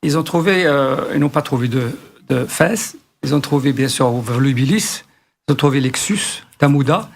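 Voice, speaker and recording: A man's voice: mid-range voice, moderate pace, creaky. A man speaking as a guest on a radio discussion, listing historical facts with many hesitations and fillers.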